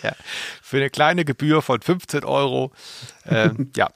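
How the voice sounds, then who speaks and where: A man's voice: mid-range voice, measured pace, gravelly, fairly bright tone, no echo, clean studio sound. A man co-hosting a podcast with casual, joking commentary on audio plays. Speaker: podcast co-host.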